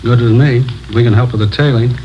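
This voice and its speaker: Muffled, mid-pitched American inspector, played by a man. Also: steady tone, fairly quick, close to an everyday voice. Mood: cautious, businesslike. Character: cautious, seasoned male narcotics inspector. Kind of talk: 1950s radio drama acting. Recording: muffled old radio recording, faint noise.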